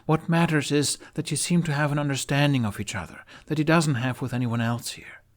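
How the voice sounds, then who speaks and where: A man's voice: a little high, steady tone, a little fast, voice pushed up, natural voice. A man voicing an earnest, stubborn young female knight with an emphatic, imploring delivery in an audio story.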